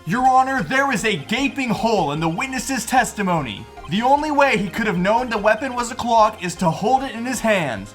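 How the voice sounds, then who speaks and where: A man's voice: extremely high pitch, big pitch swings, normal speed, hardly any pauses, a bit bright, heavily acted. A man voicing an earnest young defense attorney in a video game fan dub, speaking assertively and with accusing emphasis. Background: game music.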